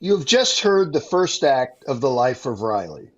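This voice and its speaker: A man announcing a vintage-style radio show with a formal, even delivery. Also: mid-range voice, moderate pace, lo-fi sound.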